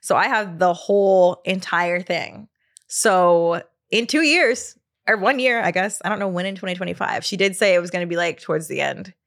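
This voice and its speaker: A woman's voice: fairly low pitch, moderate pace, creaky, fairly bright tone. A woman, hosting her podcast in a casual solo chat, laughing often and trailing off with fillers. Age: 30s. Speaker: podcast host.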